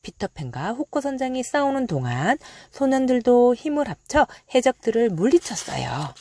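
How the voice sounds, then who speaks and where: Lively woman storyteller, dry close sound. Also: fairly high pitch, normal speed, dry recording. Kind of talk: expressive children's storybook narration.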